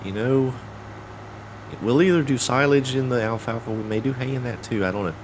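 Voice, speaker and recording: A man hosting a farming game playthrough, congested and a bit cracking, talking in a relaxed, rambling way with many fillers and restarts. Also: mid-range voice, fairly quick, background noise. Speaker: let's play host. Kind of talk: casual gameplay commentary.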